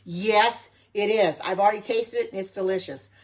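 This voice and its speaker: A woman's voice: mid-range voice, moderate pace, a little mellow, slightly rough. A middle-aged woman from the United States, chatting casually in her home kitchen on a livestream, rambling and answering viewers' comments. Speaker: home cook and vegan livestreamer.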